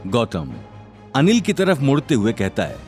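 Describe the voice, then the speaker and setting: Dramatic male storyteller, animated delivery. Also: medium pitch, moderate pace, soft background music. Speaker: narrator. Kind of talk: dramatic scripted Hindi story narration.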